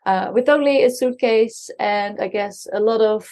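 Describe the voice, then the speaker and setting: A woman's voice: fairly high pitch, moderate pace, a little mellow. A woman in her thirties from the Netherlands, a guest chatting casually with lots of ums and restarts. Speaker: guest, marketing strategist. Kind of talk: casual conversation. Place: co-working space.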